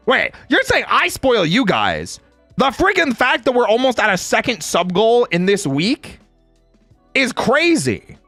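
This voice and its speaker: A man's voice: very high-pitched, huge pitch swings, a little slow, pushed and bright, no echo, faint music behind. A man in a playful, casual livestream chat, reading out viewer comments and replying in slangy speech. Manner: playful, reads chat aloud and replies.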